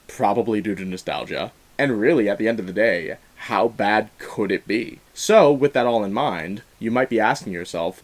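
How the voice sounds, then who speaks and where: A young man hosting a film review, talking in a conversational, wry and emphatic way through semi-scripted commentary. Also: on the low side. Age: young adult.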